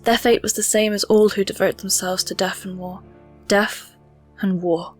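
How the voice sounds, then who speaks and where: Noble warrior princess, female actor: medium pitch, solemn, stern, formally spoken, fan audio drama. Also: steady tone, moderate pace, soft background music, close to an everyday voice. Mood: grave disdain.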